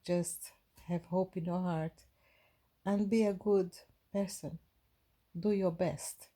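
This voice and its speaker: A woman from Brazil with a Brazilian Portuguese accent, giving a gentle, halting inspirational talk to her viewers with many restarts. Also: lowish voice, steady tone. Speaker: spiritual vlogger.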